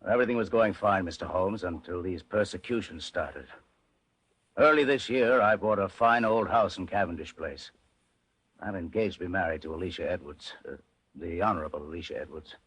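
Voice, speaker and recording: A middle-aged millionaire in old-time radio drama, gruff and aggrieved. The voice is fairly low and rough, close and dry. He speaks bluntly, with little patience. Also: a little fast, dry recording, low-quality recording. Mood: worried, defensive. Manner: blunt, impatient, forceful. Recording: vintage radio drama recording.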